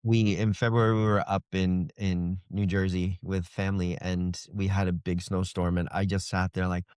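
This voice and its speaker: A man chats casually as a guest author in an interview. His voice is a little on the low side, and it comes through dry, with no room sound. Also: flat delivery, normal speed, a little mellow, smooth, dry recording. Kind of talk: casual chat.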